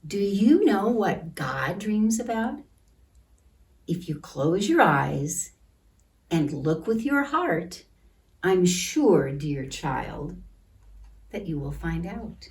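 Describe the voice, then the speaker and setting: A woman reading a picture book aloud to children in a gentle, deliberate manner, pausing to comment and ask questions. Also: lowish voice, big pitch swings. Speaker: children's storytime reader at a church. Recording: distant microphone.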